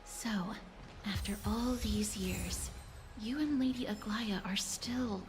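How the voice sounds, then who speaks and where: A woman playing a polite, reserved young noblewoman in a game cutscene, soft and courteous. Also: mid-range voice, takes it slowly, noisy background, close to an everyday voice. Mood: calm, curious. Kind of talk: video game cutscene acting. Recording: background music under the voice.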